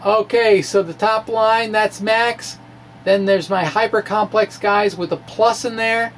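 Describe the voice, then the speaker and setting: A man giving an informal, halting, wry reading of his physics blog, often pausing and amused at his own asides. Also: high-pitched, steady tone, a bit bright. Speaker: amateur physics blogger. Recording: noisy room recording.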